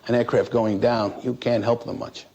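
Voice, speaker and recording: A man who works as an air traffic controller recounts his experience in an interview in a halting, plain-spoken, matter-of-fact way. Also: mid-range voice, level tone, dark tone. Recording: noisy 1960s interview recording.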